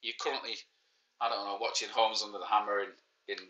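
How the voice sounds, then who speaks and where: A man in his forties with a clear Manchester accent hosts a live maths quiz for children. His voice is bright and forceful, and he talks in easy, joking banter, often teasing himself. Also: on the low side, flat delivery, fast talker, rough recording. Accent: Mancunian. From Manchester, England. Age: 40s. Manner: joking, self-mocking banter. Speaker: teacher hosting a live quiz. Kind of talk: casual livestreamed lesson.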